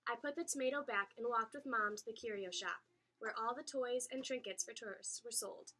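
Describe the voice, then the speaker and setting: A woman reading a children's story aloud in an expressive, animated way, acting out the dialogue. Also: a little high, fairly quick. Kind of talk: expressive read-aloud narration.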